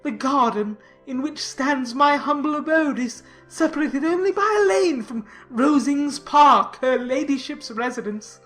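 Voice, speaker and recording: Woman playing a pompous, fawning clergyman, high-pitched. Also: animated, a little slow, few pauses, fairly bright tone, soft background music. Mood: smug, reverent. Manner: pompous, wordy, formal. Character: pompous, fawning young clergyman, self-important and obsequious. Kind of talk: dramatized audiobook reading of classic novel.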